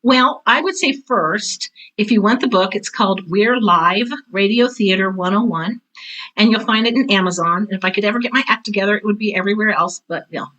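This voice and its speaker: A woman's voice: mid-range voice, brisk, fairly bright tone, poor audio. An older woman speaking casually as an interview guest, blunt and candid, with a self-deprecating streak. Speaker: podcast guest, author and drama teacher.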